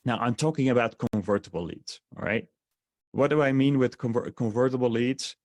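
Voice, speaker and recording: A man's voice: mid-range voice, fairly quick, darkish tone, no room sound. A man from the Netherlands with a Dutch accent, presenting a sales webinar in a rambling way with many fillers and restarts.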